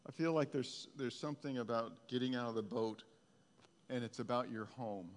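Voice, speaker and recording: A pastor leading a prophetic prayer time, speaking softly and haltingly, repeating his words. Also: mid-range voice, fairly even intonation. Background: guitar music.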